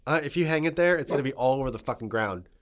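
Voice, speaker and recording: A man's voice: mid-range voice, expressive, racing. A young man co-hosting a casual podcast from a home studio, rambling, laughing and swearing. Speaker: podcast co-host. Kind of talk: casual chat.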